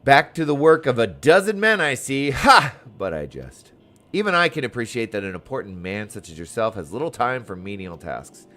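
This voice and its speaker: A man's voice: medium pitch, very animated, moderate pace, pushed and bright. A man voicing a courteous, jovial guide in a fantasy game, with formal, old-fashioned phrasing. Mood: jovial, boastful.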